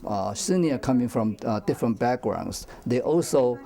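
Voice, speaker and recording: An older man, speaking haltingly with repeated words and grammar in an interview. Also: medium pitch, animated, creaky, dark tone. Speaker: senior center discussion group leader. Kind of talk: casual interview answers.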